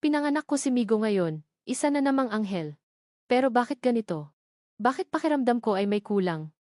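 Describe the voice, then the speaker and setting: A woman's voice: fairly high pitch, animated, a little fast, mellow, dry recording, clean studio sound, lightly acted. A woman voicing a lonely, despairing young mother confiding in her journal, in a sorrowful story narration. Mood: lonely, sad.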